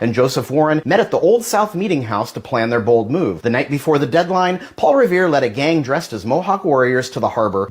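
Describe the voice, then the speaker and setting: A man reading a scripted historical narration in the manner of an informative short feature. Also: on the high side.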